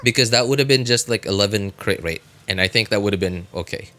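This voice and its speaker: A man's voice: lowish voice, bright, forceful tone. Guy streaming games n chatting w viewers, rambles and repeats himself, some noise behind him. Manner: rambling, halting, repeats phrases. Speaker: game livestreamer. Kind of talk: casual livestream chat with viewers.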